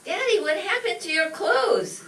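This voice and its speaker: A woman's voice: extremely high pitch, sing-song, unhurried, sharp tone, full character voice. A woman playing a dutiful, earnest young daughter in an old-time radio comedy, girlish and exclaiming. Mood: shocked. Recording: roomy, distant stage pickup with faint noise.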